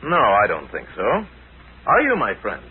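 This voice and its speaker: An actor playing a calm, courteous adventurer investigating in a 1940s radio drama, on a scratchy old recording. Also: male voice, on the low side, normal speed, phone-quality sound. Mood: composed. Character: calm American adventurer hero, composed investigator.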